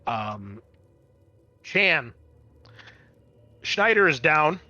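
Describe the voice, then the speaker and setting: A man's voice: on the high side, sing-song, drawn-out words, pushed and bright, dull audio. A man acting as game master, narrating a tabletop role-playing game and talking players through the rules.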